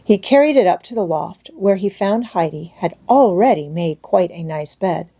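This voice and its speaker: A woman reading a children's classic aloud as scripted storybook narration, in a measured, expressive manner. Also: lowish voice, breathy edge. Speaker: audiobook narrator.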